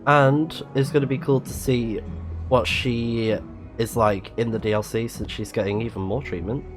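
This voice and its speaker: A man's voice: mid-range voice, fairly even intonation, moderate pace, soft background music. A man casually commentating on a video game as a live-streaming host, rambling in chatty asides, his nose a little blocked up. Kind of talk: casual game commentary, reading in-game messages aloud.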